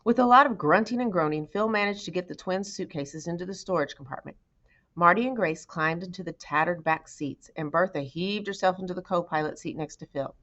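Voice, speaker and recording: Woman, audiobook reader, somewhat low voice, storybook narration, slightly muffled lo-fi recording. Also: brisk, fairly bright tone. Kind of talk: storybook read-aloud narration.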